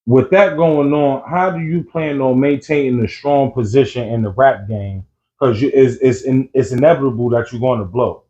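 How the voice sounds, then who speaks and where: A male interviewer works his way through long, meandering questions, restarting as he goes, in a mid-pitched conversational voice. The recording has a dull, lo-fi sound. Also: normal speed, dark tone. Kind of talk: casual interview chat.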